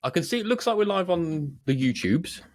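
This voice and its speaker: British guy podcast host, super animated up and down voice, jokey casual chat, takes the mick out of himself. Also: fairly high pitch, fairly quick. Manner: jokey, self-deprecating. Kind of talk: unscripted casual banter.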